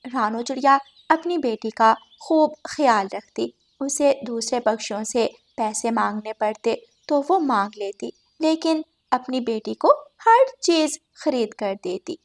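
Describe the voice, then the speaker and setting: A woman's voice: on the high side, lively intonation, unhurried, few pauses. A woman reading scripted narration for a children's moral story as the storyteller.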